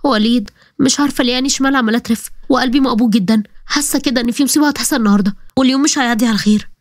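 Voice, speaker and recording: Woman doing an upset young egyptian bride, indignant, fairly high, dry close sound. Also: very fast, no room sound, lightly acted.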